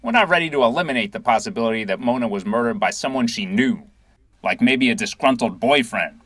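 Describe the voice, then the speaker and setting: Guy acting a cop sergeant in an audio play, official and pushy, lively husky voice. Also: mid-range voice, brisk, no echo. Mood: businesslike. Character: brisk male police sergeant questioning witnesses.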